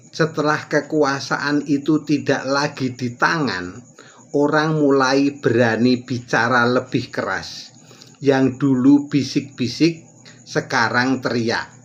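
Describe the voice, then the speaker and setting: A man narrating scripted political commentary, posing rhetorical questions and answering them himself. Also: medium pitch. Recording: dull audio with faint music.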